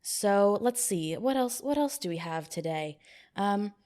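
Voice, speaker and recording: A young woman hosting a podcast, running a mock job interview in a deadpan, playfully bossy way. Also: mid-range voice, measured pace. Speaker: podcast host. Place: new studio, not fully set up.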